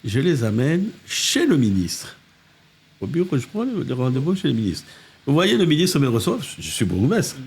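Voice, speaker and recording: An older man from Congo, a mayor telling animated anecdotes in a casual interview, with restarts and asides, recorded on a living-room podcast set. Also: mid-range voice, normal speed, slightly rough. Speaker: mayor, political guest.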